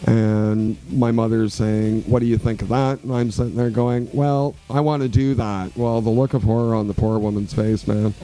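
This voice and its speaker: Low, mellow-voiced man from Quebec. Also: normal speed, hardly any pauses, rough recording. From Quebec, Canada. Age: 40s. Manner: relaxed, rambling storyteller with many fillers. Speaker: guest musician. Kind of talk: radio interview.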